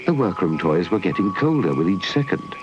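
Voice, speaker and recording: Low-voiced British storyteller, lively delivery. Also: male voice, fairly quick. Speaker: narrator. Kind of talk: scripted children's storytelling. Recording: dull old VHS tape, loud music.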